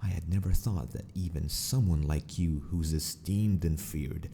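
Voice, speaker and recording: Guy doing an evil mad scientist for asmr, super low and slow, breathy, creepy polite and possessive. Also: mellow, heavily acted. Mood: mocking. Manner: slow, theatrical, formal, mockingly polite. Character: sadistic, possessive male mad scientist of an empire, mockingly courteous. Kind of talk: ASMR villain roleplay monologue.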